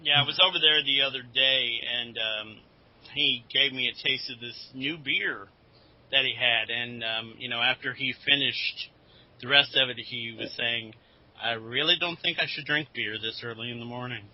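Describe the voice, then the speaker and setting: A man's voice: medium pitch, moderate pace. A man on a podcast panel, chatting casually and telling anecdotes. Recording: slightly dull lo-fi audio.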